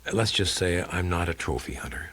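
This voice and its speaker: A man reading a crime audiobook as a world-weary, blunt male investigator who tells his case in a terse, dry, deliberate way. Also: sits low, lively intonation, a bit bright, husky, no echo, heavily acted. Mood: guarded, measured. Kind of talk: dramatic first-person audiobook reading of hardboiled crime fiction.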